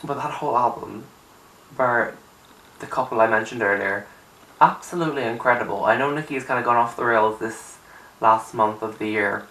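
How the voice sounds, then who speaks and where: Young male vlogger, morning-raspy, chatty and emphatic; recorded from a distance in an echoey room, light hiss. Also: mid-range voice, normal speed, pushed and bright. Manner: chatty, rambling, emphatic with filler "like". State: raspy from just waking up. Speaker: music vlogger. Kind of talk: casual opinion chat to camera. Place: small untidy room indoors. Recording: roomy, distant camera sound with faint hiss.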